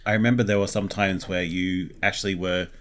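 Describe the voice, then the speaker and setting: Deep voiced aussie guy chiming in casually on an interview. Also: fairly even intonation, fairly quick, fairly bright tone. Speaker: interview guest, creative partner. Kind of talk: casual chat.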